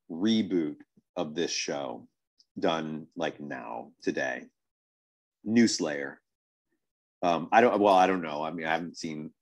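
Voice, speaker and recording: A man in a casual podcast discussion, thinking aloud in a halting, wordy, questioning way. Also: extremely low pitch. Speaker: podcast host.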